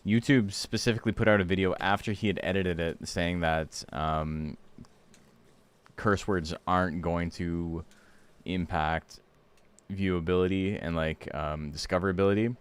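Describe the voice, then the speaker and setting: A hoarse man hosting a livestream, chatting casually and rambling with lots of fillers. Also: low-pitched, unhurried. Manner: rambling, relaxed, full of fillers. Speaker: streamer and tournament host.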